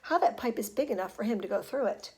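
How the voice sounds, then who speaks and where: A woman's voice: on the high side, expressive, fast, creaky, warm tone. A woman voicing a blustering, self-concerned father in a children's story, blunt and exclamatory. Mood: grumbling.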